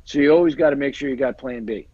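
A man's voice: medium pitch, fast talker, mellow, breathy edge. A man in his forties, an agent and guest on a video call, talking casually and rambling, repeating phrases and using many fillers, with earbuds in a small room. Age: 40s. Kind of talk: casual interview chat. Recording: dull lo-fi video call audio.

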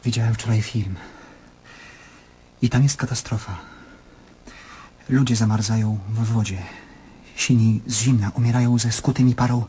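An actor playing an anxious, sleepless male patient in a radio play, speaking in halting, agitated short phrases. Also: medium pitch, mellow, husky. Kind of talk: radio drama acting.

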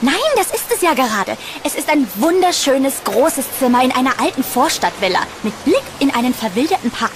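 A woman acts a chatty young female student in a horror audio drama. Her voice is high and expressive, with faint ambient noise behind it. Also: fairly quick, few pauses. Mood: excited, cheerful. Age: young adult.

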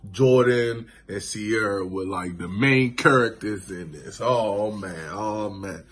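A man in his thirties recapping a show on his vlog, laid-back and animated, from a small room indoors into a phone camera. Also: lowish voice, slow pace, slightly rough. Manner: laid-back, animated, lots of "you know what I'm saying". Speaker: vlogger. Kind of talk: casual reaction vlog.

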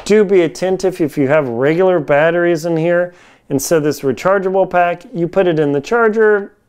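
A man's voice: high voice, normal speed, darkish tone, clean tone. A man in his forties giving a casual, hands-on gear review in informal, folksy wording, recorded indoors on a lapel mic. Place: home kitchen, indoors.

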